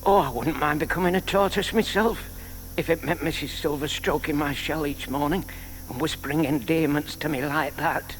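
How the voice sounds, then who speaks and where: Actor doing a timid old bloke in a radio play, lovesick, hesitant, highish voice, faint hiss. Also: male voice, normal speed, natural voice, poor audio. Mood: wistful yearning. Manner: hesitant, wistful, muttering to himself. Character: shy, lovelorn, lonely retired gentleman neighbour. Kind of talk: audio drama acting.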